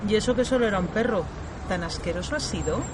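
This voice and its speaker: Brief: mocking street cop, put on by a man, very high and quick, over heavy traffic noise. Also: lively intonation. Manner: mocking, offhand. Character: gruff male police officer mocking a rookie.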